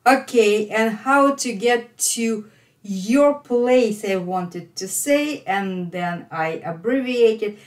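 A middle-aged woman with a Russian accent teaching English, explaining line by line and repeating phrases emphatically for learners. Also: mid-range voice. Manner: slow, emphatic repetition for learners. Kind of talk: lesson explaining a phone call line by line.

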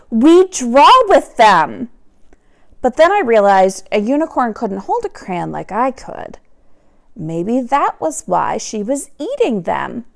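Very expressive woman reading a picture book. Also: a little high, creaky. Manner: dramatic, expressive, drawn-out emphasis on key words. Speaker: teacher reading aloud to children. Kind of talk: animated picture-book read-aloud.

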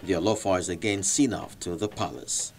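A male reporter reading scripted voice-over narration with measured delivery. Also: sits low, over loud music.

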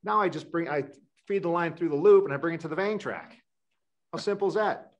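A man's voice: fairly high pitch, brisk, lo-fi sound. A middle-aged man from Wisconsin, a sailor answering interview questions in a loose, rambling way with many fillers and restarts, over a webcam. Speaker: guest sailor. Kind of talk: casual interview talk. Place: indoor room.

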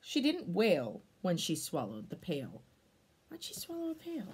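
A woman in her thirties reading a picture book aloud to children, expressive, with exclamations and chatty explanatory asides. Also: lowish voice, measured pace, slightly rough.

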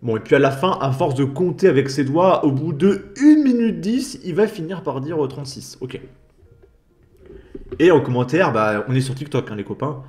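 A man narrating a commentary over clips, dry and sarcastic, with mocking asides. His voice sits a touch higher than most men's. It is a casual voice-over. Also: very animated, normal speed, a little mellow. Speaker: commentary channel host.

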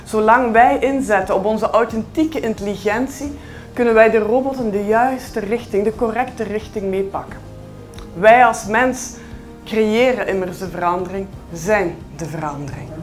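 A woman in her forties with a Flemish accent, giving a keynote speech on stage with a headset mic, measured and emphatic with rhetorical pauses. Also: medium pitch, soft background music. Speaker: keynote speaker. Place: large conference hall on stage.

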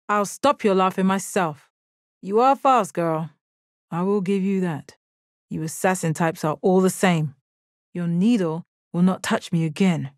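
A woman playing a stoic, confident, commanding gem warrior in a video game, with a measured, deadpan delivery. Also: on the low side, no room sound, clean studio sound. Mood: stern. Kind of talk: video game character battle lines.

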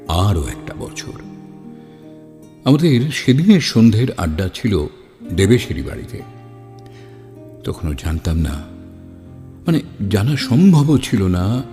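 A man's voice: low voice, warm tone, heavily acted. An actor playing a man who narrates a horror story in the first person, as one recalling his friends. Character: male friend recounting a fateful ghost story. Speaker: narrator and character in audio drama. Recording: long reverb, music behind.

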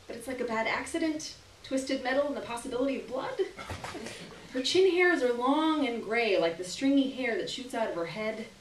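A young adult woman performing a short story aloud in a live, expressive literary reading with deliberate pauses. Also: on the high side. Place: small gallery room, live stage reading. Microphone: stand microphone, recorded by distant camera. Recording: roomy, distant camera audio with hiss.

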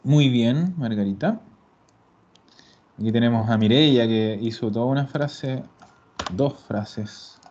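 A man's voice: mid-range voice, darkish tone, a little hiss or hum. Patient man teaching over a call. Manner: patient, explains slowly, repeats words. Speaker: Italian teacher. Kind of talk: online class explanation. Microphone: video call. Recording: dull lo-fi call audio.

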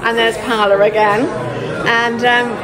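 A woman's voice: fairly high pitch, level tone, unhurried, rough recording. Chatty middle-aged woman vlogging by phone. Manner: chatty, laughs often, self-mocking. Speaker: vlogger renovating a palazzo. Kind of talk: casual vlog chat. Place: city streets at night and a busy bar. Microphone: phone at arm's length. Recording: music and crowd chatter behind.

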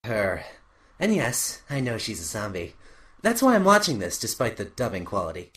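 A young man narrating an animated parody as a sarcastic teenage boy, sardonic and exasperated. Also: lowish voice, moderate pace, over a noisy crowd.

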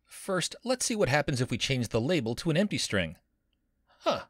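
A man's voice: on the high side, very animated, moderate pace, a bit bright, breathy edge, dry recording, clean studio sound. A man teaching a screen-recorded coding lesson as a university instructor, upbeat and playful with jokey wordplay.

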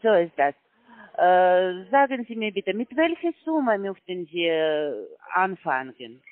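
A high-voiced man talking on the phone, his German halting and broken and full of filler sounds. He is running a sales pitch. The line is thin and tinny, with a dry, close sound. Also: big pitch swings, no echo. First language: not German. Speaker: call center salesman.

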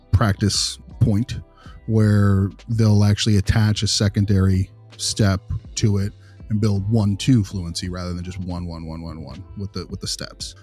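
A man's voice: on the low side, a little mellow. A man playing an easygoing behavior consultant, talking informally and reassuringly in a role-played meeting with a parent. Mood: explaining.

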